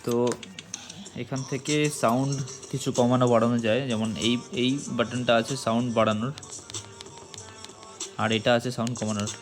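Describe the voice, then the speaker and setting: Man in casual tutorial over loud music. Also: mid-range voice, little pitch movement, moderate pace, pauses often. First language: Bengali. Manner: explains step by step, addressing viewers directly. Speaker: motovlogger and product reviewer. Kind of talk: casual hands-on tutorial vlog.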